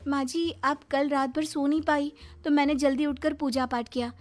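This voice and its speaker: A woman's voice: sits high, steady tone, moderate pace, close to an everyday voice. A woman voicing a caring, reasonable young daughter-in-law in a cartoon moral story, polite and explanatory. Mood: apologetic. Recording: music behind the voice.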